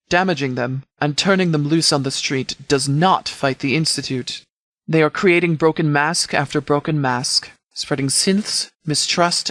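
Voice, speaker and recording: A man playing a stern, principled post-apocalyptic sheriff in a video game, speaking in a formal, measured, articulate way. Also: fairly high pitch, fairly bright tone, no echo, clean studio sound, natural voice. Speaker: voice actor in a game mod. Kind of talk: scripted video game character dialogue.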